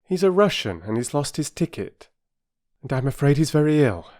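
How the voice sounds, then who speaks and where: A man doing a bright, reassuring mother. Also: on the high side, big pitch swings, normal speed, pitched above the performer's own voice. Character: kind, bright-mannered mother, middle-aged woman.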